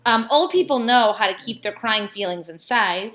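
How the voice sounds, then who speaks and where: A woman reading a children's story aloud like a teacher, with expressive emphasis on key words and casual asides. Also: on the high side, normal speed, slight background noise.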